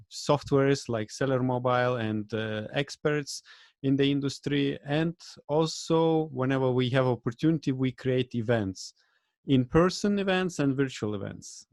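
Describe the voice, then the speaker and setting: Lithuanian guy on a video call, lots of uhs, talks kinda halting, dead dry audio. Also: medium pitch, darkish tone, no echo. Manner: halting, with many uh fillers and small grammar slips. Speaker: guest, event organizer. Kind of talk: casual interview chat.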